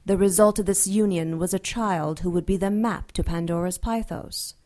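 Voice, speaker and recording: A woman playing an imperious, sardonic ancient dark angel in an audio drama, taunting and theatrical in a deliberate way. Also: lowish voice, lightly acted. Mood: cool, superior.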